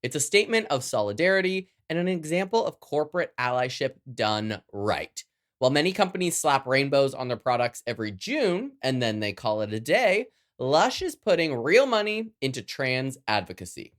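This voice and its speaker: Podcast news host, Canadian accent, sassy and playful, highly animated intonation, scripted read with chatty asides. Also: normal speed.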